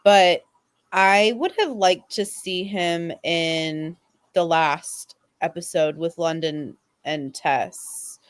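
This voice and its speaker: Unhurried woman chatting on a fan panel. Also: on the low side, no room sound. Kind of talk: casual chat.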